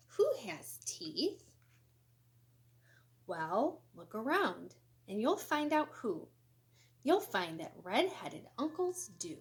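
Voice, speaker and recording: A woman reading a children's picture book aloud in an expressive, sing-song way, with short asides to young listeners. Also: fairly high pitch, background noise. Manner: expressive, sing-song, deliberate storybook delivery. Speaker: elementary school educator reading aloud.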